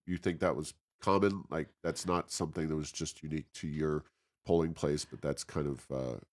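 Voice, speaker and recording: Low-voiced man hosting an interview. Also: steady tone. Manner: halting, searching phrasing. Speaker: interview host. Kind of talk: conversational interview.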